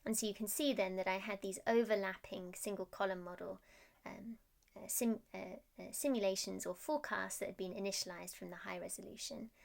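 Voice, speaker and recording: Creaky-voiced woman giving a technical presentation. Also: mid-range voice, fairly even intonation, a little fast. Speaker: research scientist presenting. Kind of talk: technical presentation, explaining slides.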